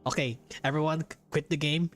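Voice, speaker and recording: A man's voice: on the high side, steady tone, fairly quick, fairly bright tone. A man with a Filipino accent, a native Tagalog speaker, giving casual, joking and somewhat hesitant game commentary as a streamer. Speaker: streamer playing and reacting to a game.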